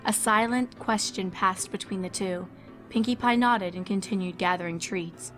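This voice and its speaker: A woman's voice: medium pitch, normal speed, a bit bright. A woman reading scripted fan fiction as a storybook narrator. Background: background music.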